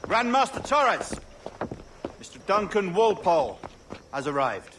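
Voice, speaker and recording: A genteel English pirate hunter in a video game. His fairly high voice rises and dips freely, urbane and self-assured in an English accent, over some background sound. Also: very animated, unhurried, pushed and bright, slight background noise, heavily acted. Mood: cool, assured. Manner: polite, urbane.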